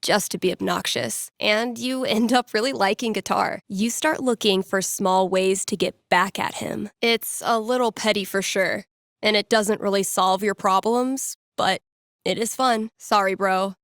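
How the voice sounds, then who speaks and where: A woman's voice: mid-range voice, fairly even intonation, measured pace, a bit bright, no room sound, studio quality. A woman playing a wry young radio DJ and playful fortune-teller in a video game, speaking in a casual, teasing storyteller's way. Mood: wry storytelling. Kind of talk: video game voice acting, conversational second-person storytelling.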